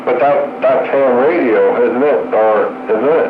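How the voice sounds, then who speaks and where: A man's voice: medium pitch, a little slow, heavy background noise. A man chatting casually on the radio as a curious hobbyist, putting questions to someone. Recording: tinny, echoey radio link with music.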